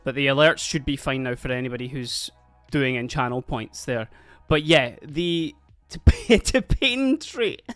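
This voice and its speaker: A man's voice: medium pitch, animated, measured pace, hardly any pauses, a bit bright, no echo. A man with a Scottish accent, chatting casually and rambling on a livestream, laughing often while doing other things as he talks. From Scotland (Dundee). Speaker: streamer. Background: faint background music.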